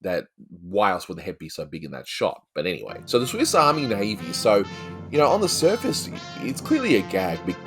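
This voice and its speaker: Deep-voiced Australian man chatting. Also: wildly swooping pitch, fairly quick, music in the background. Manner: rambling, chatty, peppered with "you know". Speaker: host of an animation review show. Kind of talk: casual film review commentary.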